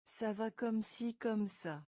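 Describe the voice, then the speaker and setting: Woman, French teacher, very slow word-by-word drill, mellow voice, dry close sound. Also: on the high side, dry recording. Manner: breaks phrases into single words, then repeats them whole. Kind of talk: slow phrase-by-phrase pronunciation drill.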